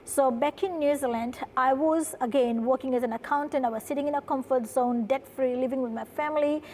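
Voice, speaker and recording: Woman from fiji, high voice, keeps saying right?, faint hiss in a studio. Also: brisk, a little mellow. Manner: earnest, tag questions with "right?". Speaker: guest, production company founder. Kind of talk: interview conversation.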